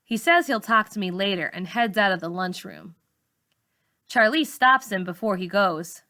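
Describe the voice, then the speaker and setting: A woman reading a novel aloud in expressive narration, like a teacher reading to students. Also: mid-range voice, normal speed. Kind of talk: expressive audiobook-style narration.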